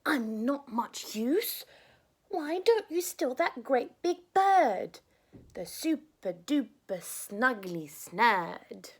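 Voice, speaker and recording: A sly, hissing farmyard goose voiced by a woman reading aloud draws out her words slowly in a high, lively voice. She sounds crafty and knowing. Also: husky, heavily acted.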